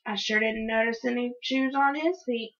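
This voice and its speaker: Woman, storybook reader, expressive narration, higher pitch, bright forceful tone. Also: moderate pace, highs cut off. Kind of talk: expressive first-person children's story narration.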